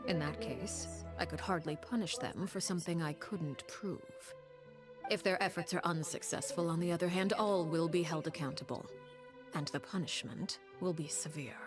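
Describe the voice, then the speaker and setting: An actress voicing a poised, ruthless woman who leads a strict house, speaking calmly with quiet menace in a game scene. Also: on the low side, natural voice. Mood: menacing. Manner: calm, measured, quietly menacing. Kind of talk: video game voice acting.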